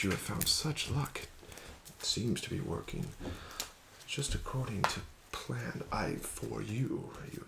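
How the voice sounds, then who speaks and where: A man hosting an ASMR roleplay as a casino dealer, soft-spoken and often whispering, smooth and gently coaxing. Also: deep voice, background noise.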